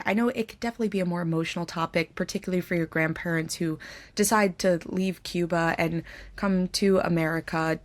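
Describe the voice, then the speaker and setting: A woman interviewer in a conversational interview, speaking in an articulate, supportive and affirming way. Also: on the low side. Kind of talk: conversational film festival interview.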